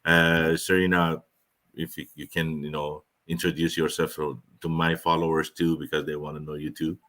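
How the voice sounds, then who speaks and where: A man's voice: very deep voice, flat delivery, normal speed, a bit bright. A man speaking as an in a casual online chat, with loose, ungrammatical phrasing and fillers. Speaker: guest.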